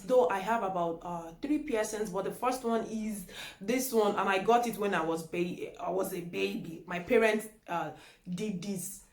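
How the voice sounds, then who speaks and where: A woman of about thirty with a Nigerian accent, a native Yoruba speaker, chatting casually as a vlogger and repeating words for emphasis. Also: mid-range voice. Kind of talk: casual chat answering tag questions. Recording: echoey room.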